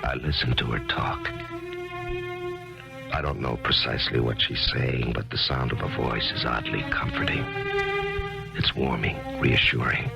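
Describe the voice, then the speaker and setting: A man's voice: a bass voice, huge pitch swings, measured pace, gravelly, pauses often, heavily acted. An actor playing a grieving, failed author who narrates his own story in a 1970s radio drama, hesitant and introspective. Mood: brooding. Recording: dull lo-fi 1970s radio broadcast with music.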